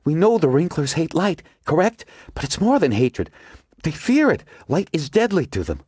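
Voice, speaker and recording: A man's voice: fairly high pitch, very animated, moderate pace, darkish tone, breathy edge, no echo, studio quality, voice pushed up. A man doing an urgent woman scientist. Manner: breathless, insistent.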